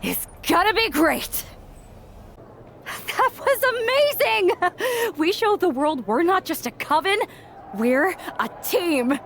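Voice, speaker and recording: A woman's voice: very high voice, lively intonation, takes it slowly, pauses often, a bit bright, slight background noise, full character voice. A woman voicing an eager, overdramatic young wrestler who wants to be a witch, in a video game. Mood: gleeful. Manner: perky, pleading, overdramatic. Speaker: voice actor in a video game cutscene. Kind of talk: animated video game acting.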